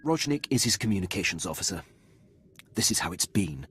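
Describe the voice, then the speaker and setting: An actor playing a dutiful, formal military officer in a video game, deferential and precise, with clipped phrasing. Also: male voice, low voice, sing-song, a little fast, a bit bright, slight background noise. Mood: dry, weary. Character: dutiful, formal male imperial military officer, loyal subordinate. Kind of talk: video game character acting.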